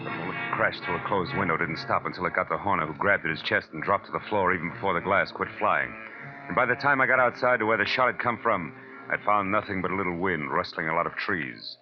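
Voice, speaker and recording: An actor playing a sardonic, tough-talking American private detective in a 1940s radio noir style. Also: male voice, sits low, fast, natural voice. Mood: grim narrating. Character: hard-boiled, wisecracking male private detective. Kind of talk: 1940s radio noir acting and first-person narration. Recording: dull old radio recording with music.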